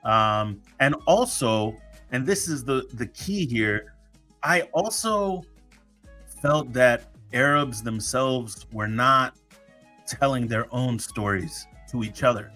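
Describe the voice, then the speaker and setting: A man talks through a solo podcast episode in a loose, joking way, stopping and restarting often. His voice is bright and forceful, at a middle pitch. Faint music sits under him. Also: lively intonation, slow. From United States. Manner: chatty, joking, many fillers and restarts. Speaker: podcast host. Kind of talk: casual solo podcast talk.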